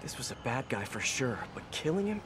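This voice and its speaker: A man's voice: mid-range voice, sing-song, fairly quick, background noise, lightly acted. An actor playing an earnest young male superhero who often talks to himself with concern in a video game cutscene. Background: game score music.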